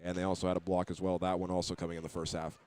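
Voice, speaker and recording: A man's voice: low-pitched, completely flat delivery, rapid-fire, a little mellow, a little hiss or hum. A man giving postgame basketball radio commentary, reading out statistics with some hesitation. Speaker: sports play-by-play announcer.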